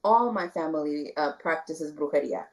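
A woman from the Rio Grande Valley in Texas, a guest talking casually in an interview, soft-spoken with many fillers and restarts. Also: low voice, normal speed, low-quality recording. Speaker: guest, spiritual candle maker.

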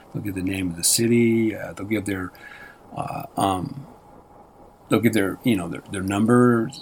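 A man's voice: lowish voice, darkish tone, breathy edge. A man co-hosting a radio show, chatting in a loose, rambling way with lots of fillers and restarts. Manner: meandering, many fillers and restarts. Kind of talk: rambling casual radio chat.

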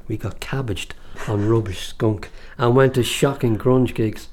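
An actor playing an easygoing, teasing husband chatting casually at home in a radio drama. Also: male voice, mid-range voice, a little slow, darkish tone, close to an everyday voice. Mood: teasing, cheerful. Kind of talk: radio drama acting, casual domestic chat.

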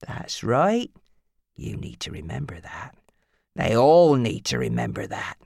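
A woman voicing a brutal, controlling man in an audiobook, menacing, moving between hard barks and hoarse, harsh murmurs. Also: rumbling low, wildly swooping pitch, a little slow, a little mellow, no room sound, clean studio sound, pitched below the performer's own voice, heavily acted. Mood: possessive, menacing. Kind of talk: audiobook character acting.

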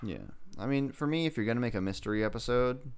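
A man's voice: medium pitch, quick pace, clear, resonant tone. A man co-hosting a casual TV review chat, arguing his points in a sarcastic way. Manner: argumentative, sarcastic. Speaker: podcast co-host.

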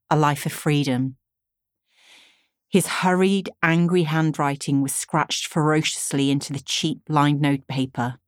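A woman's voice: sits low, no room sound, clean studio sound. A woman in her forties reading her own memoir as a scripted audiobook narration. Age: 40s.

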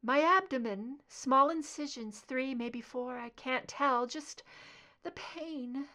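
A woman's voice: lively intonation, measured pace, a bit bright. A woman voicing a terrified female agent under hypnosis, crying out in pain in a strained voice. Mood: anguished. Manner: pained, high, crying out.

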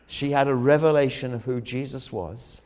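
A man preaching a sermon, telling a story dramatically, rising loud on key words and dropping low again. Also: normal speed. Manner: dramatic, swells loud on key phrases then drops low.

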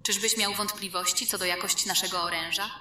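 Woman playing an imperious, sharp fantasy queen. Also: mid-range voice, steady tone, fast talker, slight background noise. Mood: probing. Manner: commanding, sharp, formal. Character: imperious, forthright warrior-minded fantasy queen. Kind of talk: fantasy audio drama acting. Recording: strong echo, faint music behind.

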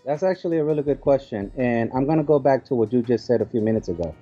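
A man speaking as an event organizer and interview guest, explaining casually and a little haltingly with examples. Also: mid-range voice, animated, lo-fi sound, soft background music.

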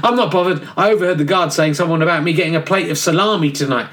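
A man's voice: on the high side, fast talker, fairly bright tone, a little hiss or hum, lightly acted. A man voicing a chummy, forgetful male baptizer in a radio comedy sketch, dismissive and full of "mate". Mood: cocky.

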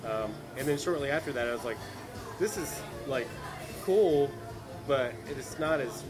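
Man, southern georgia accent, easygoing, rambling, mid-pitched, music playing behind. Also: animated, unhurried. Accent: Southern US, Valdosta Georgia. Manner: easygoing, rambling with fillers and restarts. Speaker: guest author and musician. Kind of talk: casual chat.

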